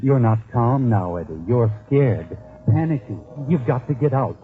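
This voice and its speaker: A man's voice: mid-range voice, expressive, moderate pace, natural voice. A man acting as a sardonic, menacing narrator in a 1940s radio suspense drama, addressing his listener mockingly and dramatically. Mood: urgent, tense. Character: sardonic, menacing male narrator taunting a criminal. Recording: tinny 1940s radio recording, music behind. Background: dramatic music.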